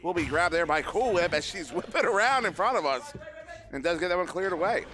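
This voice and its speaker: Male esports caster, hoarse from a long event, self-deprecating, bright tone. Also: high-pitched, lively intonation, a little fast. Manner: joking, self-deprecating, rambling with fillers. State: losing his voice. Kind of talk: live play-by-play commentary. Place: live event venue.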